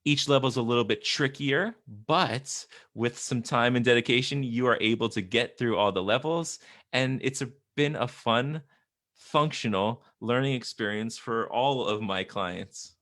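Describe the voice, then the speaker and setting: A man, a speech-language pathologist, answering as a guest in an interview in an earnest, steady way. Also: medium pitch, measured pace.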